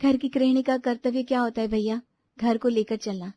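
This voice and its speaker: A woman's voice: fairly high pitch, fairly even intonation, fairly quick, dry recording, highs cut off, close to an everyday voice. A woman voicing an outspoken younger daughter-in-law in a family story, complaining and pleading her case. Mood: aggrieved. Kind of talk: animated Hindi family story dialogue.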